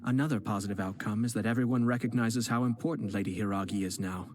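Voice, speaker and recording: An actor playing a poised young clan head and cunning strategist, speaking in a measured, courteous way in video game dialogue. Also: male voice, fairly low pitch, fairly even intonation, darkish tone, slight background noise, lightly acted. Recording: game dialogue with music underneath.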